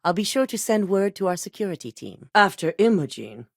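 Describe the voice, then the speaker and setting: A middle-aged actress playing a commanding corporate CEO in a video game, curt and businesslike. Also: female voice, medium pitch, sing-song, fairly quick, vocal fry, dry recording. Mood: controlled. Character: cool, commanding woman CEO of a megacorporation. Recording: dry studio recording.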